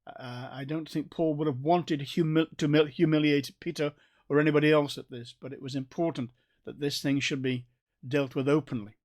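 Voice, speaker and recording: A man with a North Staffordshire accent giving a recorded Bible study in a conversational way, with restarts and gentle asides. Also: fairly high pitch, steady tone, normal speed. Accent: North Staffordshire English. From England. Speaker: Bible teacher.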